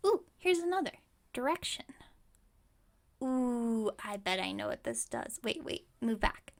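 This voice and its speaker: A woman's voice: a little high, very animated, a little slow. A woman playing a scatterbrained, overconfident witch shopkeeper in an ASMR roleplay, chatting breezily and musing aloud with great self-assurance. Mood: confident, excited. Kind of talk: ASMR roleplay audio drama.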